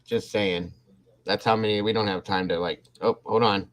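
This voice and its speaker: A man's voice: lowish voice, steady tone, moderate pace, fairly bright tone. A man hosting a casual livestream, rambling and restarting his sentences as he talks to his viewers. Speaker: livestream host. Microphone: computer mic on a livestream.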